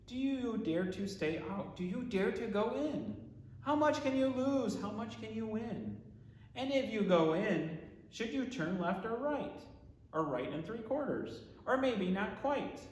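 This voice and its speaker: A man, a pastor, reading a children's book aloud in rhyming verse with expressive, theatrical, deliberate phrasing. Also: sits high, a little hiss or hum. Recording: echoey room with long reverb.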